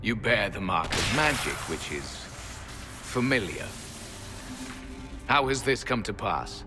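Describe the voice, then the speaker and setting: A solemn, low-voiced ancient elven sentinel. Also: male voice, big pitch swings. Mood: stern, solemn. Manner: formal, archaic, measured phrasing. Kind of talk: dramatic video game acting. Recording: long hall reverb, music underneath.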